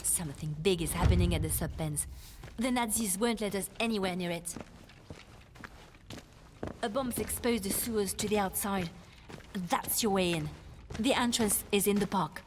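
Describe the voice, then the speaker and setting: Clear noise sits behind a mid-pitched female voice. An actress plays a serious resistance contact in a war game, briefing an agent with urgent, matter-of-fact directness. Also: moderate pace, long pauses, close to an everyday voice. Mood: urgent, businesslike. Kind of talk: mission briefing in game dialogue. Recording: noisy background with clicks.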